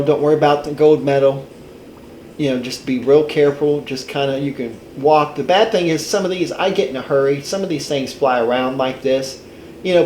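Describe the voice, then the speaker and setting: A man's voice: a little high, a little mellow, roomy sound, a little hiss or hum. An older man with a head cold, sounding congested and stuffed up, giving a rambling, folksy gameplay walkthrough as a channel host. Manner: rambling, folksy, self-correcting. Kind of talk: casual gameplay walkthrough commentary.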